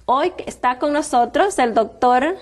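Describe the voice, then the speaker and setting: A woman's voice: high voice, animated, a little slow. A woman with a Panamanian Spanish accent, hosting and interviewing a guest on a health program. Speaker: host.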